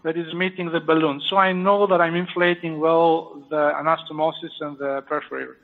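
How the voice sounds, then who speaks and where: A high-voiced man with a French accent talks through a surgery step by step, pausing often with fillers. His voice comes over a thin, tinny line that sounds like a phone. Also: little pitch movement. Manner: explanatory, halting with many fillers. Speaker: surgeon performing a live procedure. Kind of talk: live procedure commentary.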